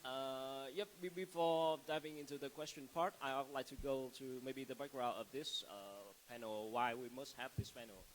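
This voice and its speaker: A man's voice: medium pitch, steady tone, moderate pace, few pauses, poor audio. A man moderating a panel, framing questions hesitantly, repeating words and checking for agreement. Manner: hesitant, repetitive, seeks agreement with tag questions. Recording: live stage microphone with faint hiss.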